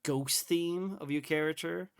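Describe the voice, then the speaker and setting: A man's voice: on the high side, fairly even intonation, measured pace, gravelly, smooth. A young man hosting a casual interview, talking with enthusiasm, many fillers and restarts as he rambles through ideas. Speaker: interview host. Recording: faint music underneath.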